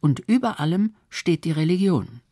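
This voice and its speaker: A narrator reading a scripted history documentary in a measured storytelling register. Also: mid-range voice, very animated, dark tone, husky, no echo, clean studio sound.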